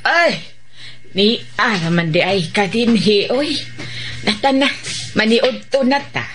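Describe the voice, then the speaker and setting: A woman's voice: a little high, expressive, takes it slowly, a bit bright, slight background noise. An actress with a Filipino accent playing a concerned older village woman in a radio drama.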